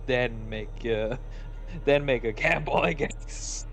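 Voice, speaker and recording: A man's voice: mid-range voice, slow pace, vocal fry, a bit bright. A Norwegian man with a Norwegian accent, speaking English as a second language, chatting casually as a podcast host in a self-deprecating way and swearing freely. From Norway. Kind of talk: casual chat while drawing.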